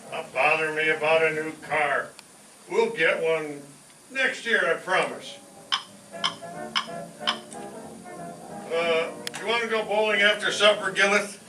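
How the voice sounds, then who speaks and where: Man playing excitable working-class husband, highish pitch, old radio comedy, distant mic with faint noise. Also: moderate pace, reverberant, over music, natural voice. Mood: grumbling. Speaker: amateur performer in a staged radio show. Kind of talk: 1940s radio sitcom acting.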